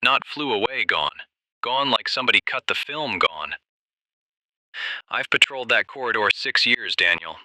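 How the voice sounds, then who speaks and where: A man playing an earnest, shaken desert ranger phoning a radio drama's talk show, plain-spoken, halting and emphatic. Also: medium pitch, expressive, noisy background, lightly acted. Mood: uneasy, insistent. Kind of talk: radio drama phone-in testimony.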